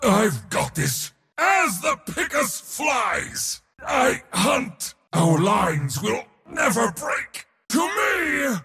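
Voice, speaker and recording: An actor voicing a proud, pompous god of war for a video game, with a theatrical, commanding military delivery. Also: male voice, very high-pitched, huge pitch swings, drawn-out words, creaky, hardly any pauses, pushed and bright, shouting, big-room sound, heavily acted. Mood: confident. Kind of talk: video game hero voice lines.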